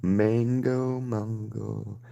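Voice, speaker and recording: A man's voice: fairly low pitch, flat delivery, very slow, gravelly, dark tone, highs cut off, slight background noise. A man in his thirties with a mild Southern US accent, chatting loosely and rambling while he paints live, cursing casually. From Southern United States. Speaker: artist streaming live.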